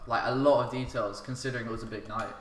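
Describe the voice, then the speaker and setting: A man's voice: mid-range voice, fairly even intonation, fast talker. A man in his twenties telling stories as a casual podcast guest, acting out bits of remembered dialogue. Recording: echoey room, faint noise.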